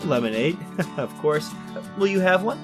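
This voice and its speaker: A man's voice: a little high, expressive, moderate pace, music in the background, natural voice. An actor playing a fussy, orderly, mild-mannered man with a prim, hesitant, polite manner in a retro radio drama. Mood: flustered. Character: fussy, orderly, mild-mannered male workshop owner recounting a murder.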